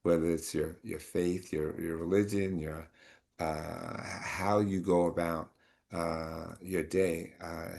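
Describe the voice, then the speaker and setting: A man with a deep, low voice tells his own story at a community panel and then hands off to his guests. He talks haltingly, with many pauses, fillers and restarts. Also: creaky, darkish tone. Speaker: panel moderator. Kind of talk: personal testimony then moderating a panel.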